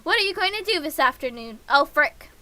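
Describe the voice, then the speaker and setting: A woman livestreaming a game in a casual, excitable way, reading the text aloud and reacting with giggly gushing. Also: sits high, a little fast.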